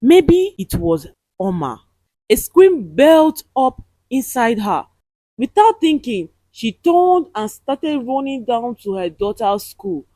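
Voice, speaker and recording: Slow, animated woman telling a dramatic story. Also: a little high, no echo. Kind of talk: dramatic scripted story narration.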